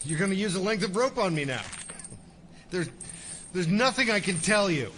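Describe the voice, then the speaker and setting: Cornered rogue agent, sardonic, high voice, very animated swings, agitated, audio drama with music behind. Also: male voice, normal speed, slight background noise. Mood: wary protest. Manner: sarcastic, agitated.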